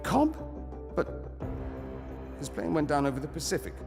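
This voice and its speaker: An actor playing an anxious, flustered director of a secret vault, halting and defensive, in a game cutscene. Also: male voice, on the high side, sing-song, vocal fry. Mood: defensive, uneasy. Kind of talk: dramatic game cutscene dialogue. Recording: long hall reverb, music behind.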